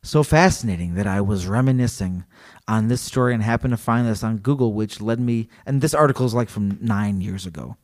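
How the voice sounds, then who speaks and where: A man co-hosting a podcast, chatting casually and reading a story aloud, animated and joking. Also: on the low side, fairly quick. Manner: animated, joking, laughs often. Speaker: podcast co-host.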